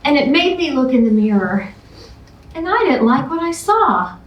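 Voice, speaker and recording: A woman's voice: sits high, big pitch swings, moderate pace, highs cut off. A woman playing a blunt, rambling, confessional ex-girlfriend in a staged musical comedy reading. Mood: remorseful, pleading. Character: blunt, gushing ex-girlfriend wanting her man back. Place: indoor stage, far from mic. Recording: echoey room, distant mic, faint noise.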